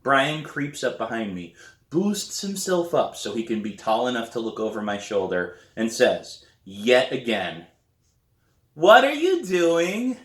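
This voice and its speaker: A man reading a novel aloud as its author, with expressive, deliberate and dramatic storybook narration. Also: lowish voice, echoey room.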